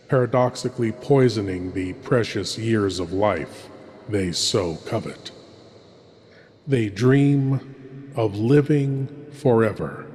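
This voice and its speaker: A man's voice: on the low side, a little mellow. A man performing as a sinister, grandiose horror host, narrating old-time radio horror in an ominous, theatrical manner. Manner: slow, ominous, dramatic delivery. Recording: long cavernous reverb, some noise.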